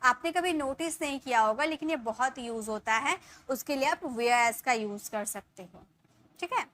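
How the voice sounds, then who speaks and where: A woman in her thirties teaching spoken English, explaining in Hindi and saying the English sentences slowly, word by word, and often checking in with her viewers. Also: high-pitched, fairly bright tone. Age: 30s. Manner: addresses viewers as guys, checks in with "ठीक है".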